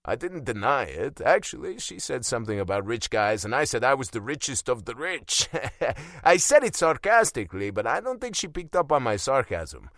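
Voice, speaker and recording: Wry male audiobook narrator, very lively pitch. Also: moderate pace, dry recording, clean studio sound. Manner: wry, self-deprecating, conversational. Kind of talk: first-person romance audiobook narration.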